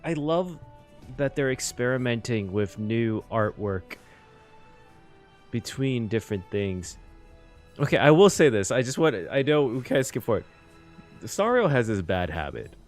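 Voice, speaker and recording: A man's voice: medium pitch, big pitch swings, measured pace, pauses often, faint music behind. A man giving casual, effusive game reaction commentary as host, emotive and repeating phrases for emphasis.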